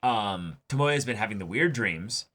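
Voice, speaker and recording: A man's voice: fairly low pitch, expressive, normal speed, fairly bright tone. A man co-hosting a reaction show, talking casually and analytically in an emphatic way, repeating phrases for stress. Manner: emphatic, repeats phrases, acts out imagined dialogue. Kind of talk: casual analytical discussion of an anime episode.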